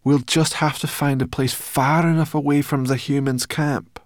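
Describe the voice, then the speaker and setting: A man's voice: a little high, fairly even intonation, moderate pace, no room sound, studio quality, pitched above the performer's own voice, lightly acted. A man voicing a feisty, bossy young female brownie creature in a storybook, brash and impatient. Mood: urgent, bossy.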